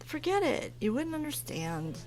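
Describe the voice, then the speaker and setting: A woman's voice: high-pitched, very animated, moderate pace, darkish tone. An actress playing a woman of thirty who is a dry, chiding, disapproving friend in an audio drama. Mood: exasperated. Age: 30s. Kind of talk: audio horror drama acting.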